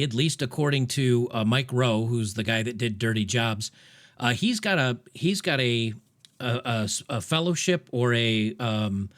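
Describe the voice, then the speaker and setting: A man's voice: mid-range voice, a bit bright. A man hosting a casual monologue, emphatic and rambling with frequent restarts. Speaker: podcast host.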